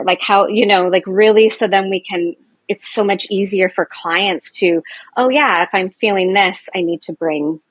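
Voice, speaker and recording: Husky-voiced woman in her forties, chatty. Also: medium pitch, no echo, low-quality recording. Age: 40s. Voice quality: loud voice. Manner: chatty, many fillers and restarts, animated. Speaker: nurse and Ayurveda practitioner, guest. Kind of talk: casual interview chat.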